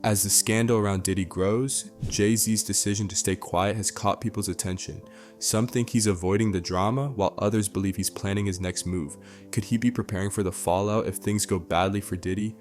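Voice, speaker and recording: A man reading a scripted celebrity news narration in a measured, dramatic way. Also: fairly low pitch, steady tone. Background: background music.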